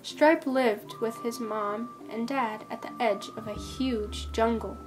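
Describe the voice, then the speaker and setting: A woman with a fairly high voice narrates a children's storybook. Her phrasing is careful, expressive and unhurried, with music softly behind her. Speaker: volunteer storybook reader.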